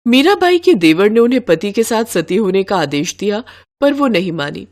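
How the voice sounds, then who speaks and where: A woman's voice: medium pitch, big pitch swings, normal speed, darkish tone. A woman storyteller reading a scripted devotional story.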